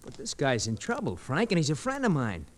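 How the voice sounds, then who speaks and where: An actor playing a pushy but loyal cab driver sidekick, brash and slangy, in 1940s radio drama style. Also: male voice, mid-range voice, huge pitch swings, fairly quick. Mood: earnest, urging. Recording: slightly noisy vintage radio recording.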